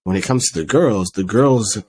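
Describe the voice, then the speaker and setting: A man hosting a casual interview, speaking as a middle school teacher in a relaxed, reflective storytelling way. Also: fairly low pitch, moderate pace, breathy edge.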